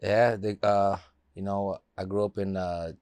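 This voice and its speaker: Low-voiced laid-back man from Los Angeles. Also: little pitch movement, measured pace, a little mellow, clear, resonant tone, no room sound. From Los Angeles area. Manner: laid-back, loose storytelling with many fillers. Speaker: interview guest, rapper. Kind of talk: casual interview talk.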